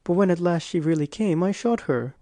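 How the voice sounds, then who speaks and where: A woman's voice: on the low side, lively intonation, a little fast, dark tone, no echo, lightly acted. A woman voicing a solemn, earnest young boy character in a storybook reading. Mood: sorrowful, reflective. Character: young lost boy, proud then sorrowful and afraid.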